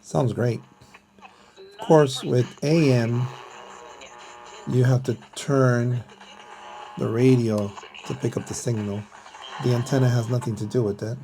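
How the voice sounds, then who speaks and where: A middle-aged man talks through a hands-on demonstration at a slow pace. His voice is relaxed and mellow with plenty of creak, and he speaks haltingly, thinking aloud as he goes. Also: medium pitch, slight background noise, rough recording. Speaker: vlogger showing a vintage radio. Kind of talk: casual hands-on explainer.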